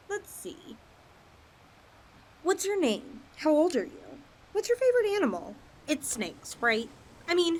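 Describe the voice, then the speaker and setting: Woman voicing a high, lilting, possessive lamia girl. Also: a little hiss or hum, exaggerated voice. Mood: eager, playful. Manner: coaxing, playful, dramatic swings in delivery. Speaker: audio roleplay performer. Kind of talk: ASMR roleplay, acted monologue addressed to listener.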